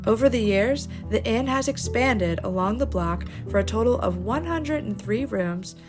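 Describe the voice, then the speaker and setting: A woman with a fairly high voice narrates as a travel show host. Her delivery is scripted but playful, with a touch of theatre in the phrasing. Music plays clearly behind her. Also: lively intonation, normal speed. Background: background music.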